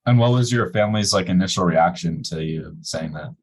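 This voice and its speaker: Deep-voiced man asking casual interview questions. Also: fairly quick. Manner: casual, filler-laden questions. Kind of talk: oral history interview. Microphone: video call.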